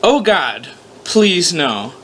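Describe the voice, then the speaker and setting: A man's voice: on the high side, very animated, extremely slow, fairly bright tone, pitched above the performer's own voice, heavily acted. A man reading aloud as a wry, flustered human man who narrates his own predicament with sarcastic exasperation. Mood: nervous sarcasm. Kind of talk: first-person story read aloud. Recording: noticeable background noise, amateur recording.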